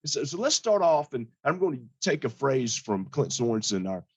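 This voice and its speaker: A hoarse man, a financial advisor, giving a casual client update in a plain-spoken, conversational way. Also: medium pitch, very animated, moderate pace, dry recording.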